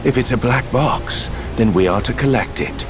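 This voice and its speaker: A man's voice: medium pitch, moderate pace, lightly acted. A man playing a suave, smug gambler villain in a video game, with formal, eloquent, teasing speech. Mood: cool, calculating. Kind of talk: video game cutscene acting. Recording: loud background music.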